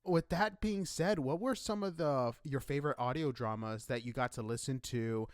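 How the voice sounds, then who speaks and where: A man's voice: mid-range voice, normal speed, clear, resonant tone, no echo. A man hosting an interview in a conversational style. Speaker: interview host.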